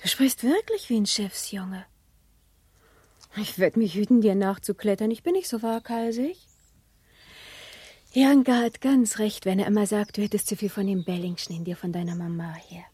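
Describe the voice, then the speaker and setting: An actress playing a prim, cautious teenage pastor's daughter in a literary radio play, talking in a chiding manner. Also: female voice, mid-range voice, moderate pace, dark tone, husky, no room sound, lightly acted. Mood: prim, reproachful.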